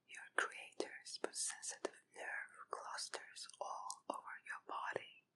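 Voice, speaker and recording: A woman acting a slow, cooing, possessive captor with vocal fry. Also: mid-range voice, warm tone, exaggerated voice. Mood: hushed, knowing. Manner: slow, teasing, cooing, condescending, often whispering. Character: possessive, coaxing female owner doting on a captive pet. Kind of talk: intimate ASMR roleplay, often dropping to whispers.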